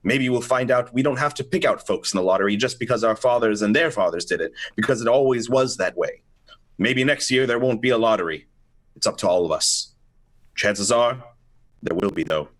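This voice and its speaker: A man's voice: medium pitch, a little fast, fairly bright tone. A man narrating and announcing an old-time radio play script in an informal, playful way, stumbling over some lines.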